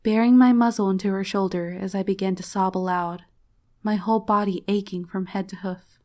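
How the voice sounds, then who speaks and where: A woman's voice: mid-range voice, fairly even intonation, a little fast, mellow. A woman reading fan fiction as a dramatic first-person narrator, emotive and solemn in her storytelling. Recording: faint music behind the voice.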